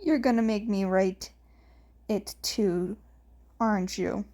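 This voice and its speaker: A woman's voice: medium pitch, slow, warm tone, close to an everyday voice. A woman reading the part of a casual, teasing young boy twin in a story.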